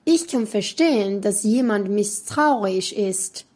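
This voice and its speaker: Woman, twenties, native Spanish speaker, student presenter, husky, unhurried careful reading. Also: medium pitch, expressive, darkish tone. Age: 20s. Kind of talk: reading a prepared talk.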